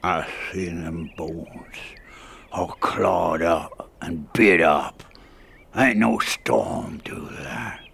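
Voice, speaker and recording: A man playing a gravelly old squatter. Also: sits low, full character voice. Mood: ominous. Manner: slow drawling, gravelly, drops to hushed whispers. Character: old backwoods mountain squatter man, superstitious and wary. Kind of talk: radio drama acting.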